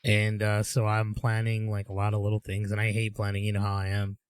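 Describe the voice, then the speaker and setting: A young man co-hosting a casual podcast, rambling and animated as he tells stories. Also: on the low side, brisk, clear, resonant tone, no echo, studio quality. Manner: rambling and animated, with upbeat storytelling. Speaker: podcast co-host. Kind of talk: casual chat.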